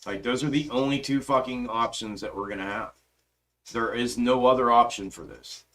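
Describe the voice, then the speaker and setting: A man in mid-range pitch hosting a stock market live stream, talking casually into a desk mic at his computer. He is emphatic and profane, swears freely, and trails off while he works his charts. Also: steady tone, moderate pace, a bit bright. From grew up in western Pennsylvania, adult life in the South. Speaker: stock market livestream host.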